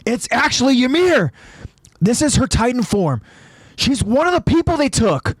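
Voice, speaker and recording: A man voicing a hot-headed young male soldier in an anime story, urgent and blunt, with a strained, yelling voice. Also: expressive, measured pace, no room sound, full character voice, poor audio. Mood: frantic, urgent. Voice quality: strained yelling, high and shouted.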